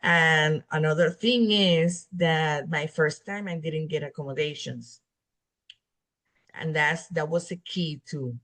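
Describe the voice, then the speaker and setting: A woman from Puerto Rico with a Spanish accent, a native Spanish speaker, talks slowly in a lowish voice. She tells her story earnestly and halts often to restart her sentences. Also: a bit bright. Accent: Puerto Rican Spanish. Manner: earnest, halting with frequent restarts. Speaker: guest, exam passer. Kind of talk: casual interview storytelling.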